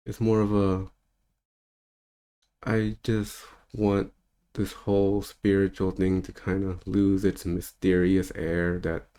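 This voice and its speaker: A man's voice: low-pitched, flat delivery, slow pace, warm tone, clear, resonant tone. A man in his thirties, a spiritual teacher, talking in a loose, rambling monologue with easy laughs. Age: 30s. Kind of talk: casual unscripted monologue.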